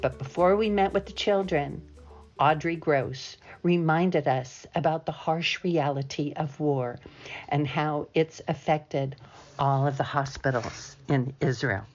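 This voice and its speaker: A woman's voice: sits low, measured pace, darkish tone, dull audio. A woman reading a scripted narration over the footage. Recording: voice-over with music underneath.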